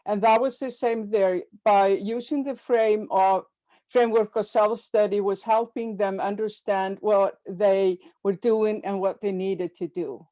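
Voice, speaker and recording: A woman presenting book chapters at an academic webinar over a video call, halting with fillers and repeated words. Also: mid-range voice, steady tone, dark tone.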